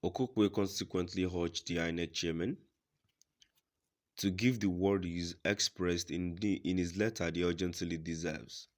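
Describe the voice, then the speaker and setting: A man reading scripted news reports in a formal, deliberate manner as a news reader. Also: low voice, steady tone.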